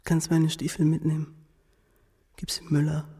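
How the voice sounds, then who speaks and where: An actor playing a resigned, despairing nineteen-year-old wounded soldier, weak and husky, in a radio drama. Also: male voice, fairly high pitch, completely flat delivery, normal speed, warm tone.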